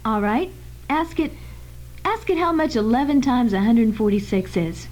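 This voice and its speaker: A woman's voice: a little high, expressive, normal speed, darkish tone, natural voice. A woman playing a persistent, curious newspaper reporter in an amateur radio drama, asking direct questions. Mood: curious, pressing.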